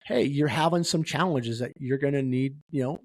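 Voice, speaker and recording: A man speaks as a podcast host in conversation, with a mid-pitched voice and a close, dry sound. His delivery is earnest and emphatic, often slipping into imagined quotes to make a point. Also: moderate pace, darkish tone, dry recording. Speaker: podcast host and first responder peer-support advocate.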